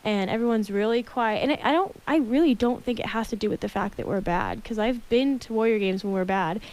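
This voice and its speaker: A woman's voice: fairly high pitch, brisk, darkish tone. A young woman with a slight lisp chatting casually on a radio show, full of "like" fillers. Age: young adult.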